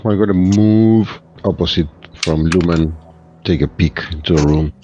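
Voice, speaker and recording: Deep, dark-toned man gaming, muffled audio. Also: normal speed, slight background noise. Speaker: player in a tabletop game. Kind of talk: casual game-table chat. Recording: dull lo-fi audio with faint music.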